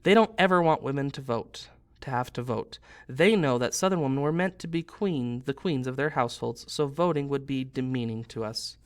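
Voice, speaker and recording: A man's voice: medium pitch, moderate pace, few pauses, natural voice. Guy reading as prim southern spinster lady, super dramatic and touchy, storybook style. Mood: blithe. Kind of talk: storybook read-aloud.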